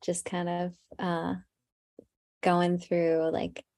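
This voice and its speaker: A woman musician talking in an interview, soft-spoken and thoughtful with many fillers. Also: lowish voice, monotone, drawn-out words, creaky, dry recording, studio quality, faint music behind. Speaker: musician guest. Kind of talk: casual interview.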